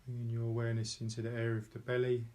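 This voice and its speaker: A man leading a guided meditation in slow, soft instruction, calm and unhurried, with pauses between short phrases. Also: mid-range voice.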